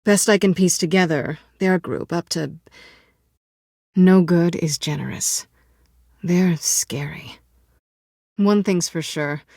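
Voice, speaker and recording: A woman's voice: lowish voice, slow, creaky, pauses often, darkish tone, no room sound, clean studio sound, exaggerated voice. A woman voicing a sly, self-assured cat burglar in a video game, with a sultry, wry, coolly teasing way of talking. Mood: wary, dry. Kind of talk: video game voice acting, dramatic dialogue.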